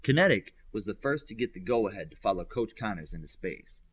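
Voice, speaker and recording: Man narrating fiction with lively pitch swings. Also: brisk, breathy edge. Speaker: audiobook narrator.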